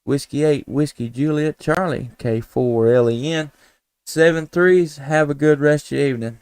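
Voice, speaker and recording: A man's voice: fairly high pitch, fairly even intonation, normal speed, a little mellow. Man on a noisy radio stream. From Tennessee, United States. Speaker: amateur radio operator and live stream host. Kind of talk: casual live stream chat with ham radio calls.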